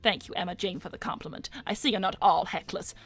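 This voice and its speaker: A woman voicing a smug, seductive hedgehog celebrity businesswoman in an animated roleplay stream, suave, flirtatious and coolly condescending. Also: mid-range voice, quick pace, faint music behind, close to an everyday voice. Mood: smug, pleased. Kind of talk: improvised in-character livestream roleplay.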